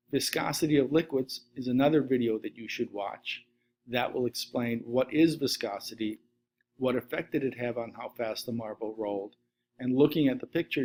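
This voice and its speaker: Middle-aged male science teacher, steady methodical lecture read into a desk webcam. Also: mid-range voice. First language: Latvian. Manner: steady list-reading delivery. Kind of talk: recorded slide lesson.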